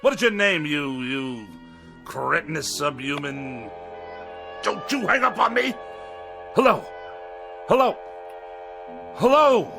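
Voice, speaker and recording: An actor playing a haughty, indignant middle-aged misanthrope with a sarcastic, biting manner in a radio drama. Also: male voice, fairly high pitch, sing-song, slow, creaky, pauses often, over music, heavily acted. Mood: outraged. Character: pompous, irascible middle-aged misanthrope writer.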